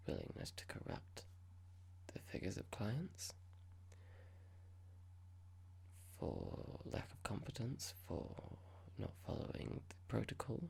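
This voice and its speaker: A performer playing a cold, smug corporate boss in a whispered ASMR roleplay, speaking slowly and deliberately with breathy creak and mock politeness. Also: mid-range voice, darkish tone, full character voice. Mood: smug, condescending.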